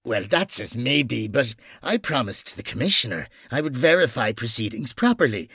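A performer voicing a stern, irritable senior policeman in an audiobook, with a gruff, officious manner. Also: mid-range voice, very animated, normal speed, bright, forceful tone, no room sound, clean studio sound, exaggerated voice. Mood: officious, suspicious.

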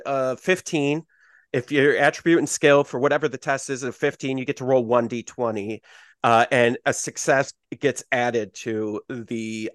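A man's voice: medium pitch, no echo, clean studio sound. A man acting as game master on a podcast, explaining dice rules in a loose, self-correcting way with plenty of fillers.

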